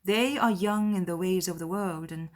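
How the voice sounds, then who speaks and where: A woman voicing a candid, self-possessed young gentlewoman in a period audiobook drama, articulate, spirited and formal, with a wry streak. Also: on the low side, moderate pace, lightly acted. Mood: wry, assured. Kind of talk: dramatized period novel letter reading.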